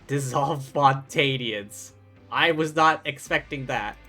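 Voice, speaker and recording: A streamer reacts casually to a game as it plays. The speech is slow and halting, in musing asides at a medium pitch. Game music sits behind the voice.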